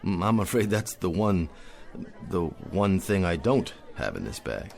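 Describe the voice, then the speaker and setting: An actor playing a tipsy, good-hearted, bewildered store Santa with florid, theatrical phrasing in radio drama style. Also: male voice, deep voice, very animated, measured pace, creaky, darkish tone, full character voice. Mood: meek, uneasy.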